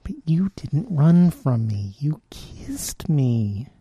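A man voicing a lonely male enchanted frog who speaks gently and earnestly. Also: a little high, very animated, slow pace, breathy edge, no echo, heavily acted. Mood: gentle earnest.

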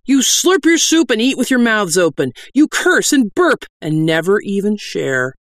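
A woman's voice: medium pitch, sing-song, measured pace, no echo, studio quality, pitched above the performer's own voice. A woman voicing a bold young warrior posing as a stern priest in a fantasy audiobook, declaiming and scolding haughtily. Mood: commanding, scolding. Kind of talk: fantasy audiobook character acting.

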